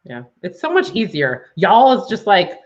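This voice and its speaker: A woman in her thirties co-hosting a casual chat, rambling with quick asides and giggling often. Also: mid-range voice, wildly swooping pitch. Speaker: podcast co-host. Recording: dull lo-fi sound.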